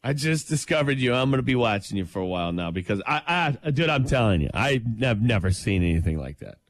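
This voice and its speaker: A man co-hosting a morning radio show, talking sports in an emphatic, excited way and laughing easily. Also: medium pitch, fast, no echo.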